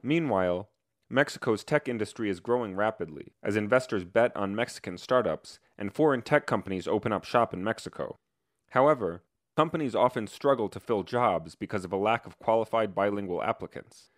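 A man reporting, reading a scripted news narration with measured, even delivery. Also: lowish voice, no room sound, studio quality.

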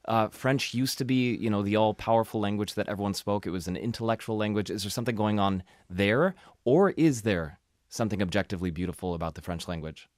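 A male co-host asks questions in a loose, joking manner and is quick to apologize for his own teasing. His voice sits a little low, and it is recorded dry and close with no room sound. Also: no echo. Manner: chatty, self-deprecating, quick to joke. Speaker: podcast co-host. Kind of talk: casual interview questions.

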